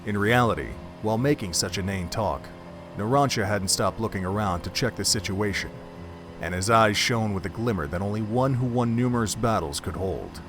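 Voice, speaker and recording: Male story narrator, fairly low voice, measured and literary, music bed. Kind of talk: scripted story narration for an audio drama. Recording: background music under the voice.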